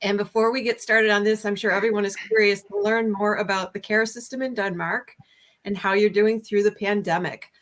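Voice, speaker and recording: A woman's voice: mid-range voice, steady tone, fairly quick. A chatty woman hosting a video call. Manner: warm, laughs often, filler-heavy. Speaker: host. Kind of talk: casual video call interview.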